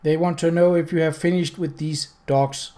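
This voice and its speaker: A man voicing a patient, literal golem who speaks slowly and evenly. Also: fairly high pitch, fairly even intonation, dark tone, natural voice. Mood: calm. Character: patient hulking golem, male, calm and literal.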